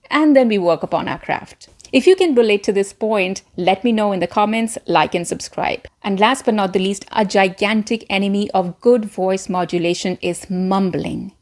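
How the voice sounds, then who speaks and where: Woman doing a coaching video, talks right to you, encouraging, leans on the important words, medium pitch. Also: a little mellow. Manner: encouraging, direct address to viewers. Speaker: presenter, voice coach. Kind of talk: instructional talk to camera.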